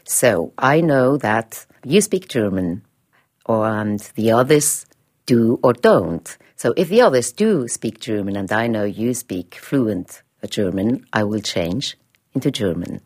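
Swiss woman interviewee, deep voice, slow and hesitant delivery, radio interview. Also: animated, a little mellow. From Switzerland. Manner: hesitant, many fillers, thoughtful. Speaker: interviewee, head of a language department.

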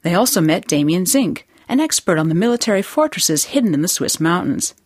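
A woman hosting a radio program in a studio, reading scripted narration in a measured presenting manner. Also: on the low side, sing-song, a little mellow, no room sound. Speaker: program host.

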